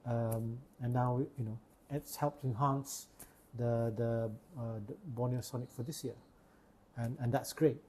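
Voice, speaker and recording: A man's voice: mid-range voice, flat delivery, measured pace, darkish tone, slight background noise. A man from Sarawak with a Malaysian accent, hosting a casual podcast chat and often repeating words as he searches for a phrase. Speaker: podcast host.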